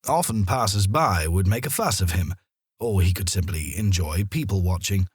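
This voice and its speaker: A man narrating a children's story in a deliberate, expressive storytelling manner, as an audiobook reader. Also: deep voice, slightly rough, dry recording, clean studio sound.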